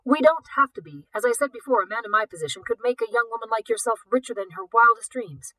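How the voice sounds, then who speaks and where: A woman voicing a suave, wealthy older male art collector in a storybook, speaking in a formal, measured, indulgent way. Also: on the high side, expressive, pushed and bright, dry recording, voice pushed up, low-quality recording. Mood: indulgent, persuasive.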